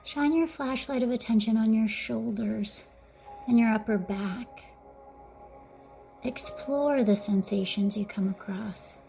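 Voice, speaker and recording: A woman guiding a mindfulness meditation in a gentle, soothing, softly spoken way, with breathy phrase endings. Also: on the high side, slow pace, soft background music. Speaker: meditation guide. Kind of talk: guided mindfulness meditation narration.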